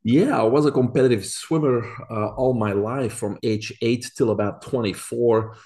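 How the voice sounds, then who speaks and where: A man's voice: medium pitch, moderate pace, dark tone. A man in his forties from Belgium with a Belgian accent, a podcast guest explaining things earnestly with frequent fillers. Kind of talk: casual interview talk.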